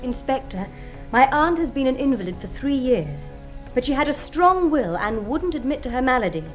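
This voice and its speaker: A woman's voice: sits high, very animated, moderate pace, faint music behind, poor audio. An actress playing a composed, proud young lady of a wealthy household in a British radio drama, polite, precise and formal. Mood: defensive, firm.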